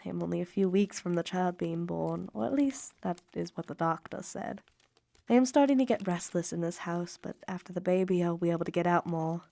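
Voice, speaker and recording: An actress playing a wounded, reproachful young Victorian mother in a radio drama, reading letters in formal, measured, emotive diction. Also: fairly low pitch, gravelly, dark tone, no echo. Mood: anxious, pleading.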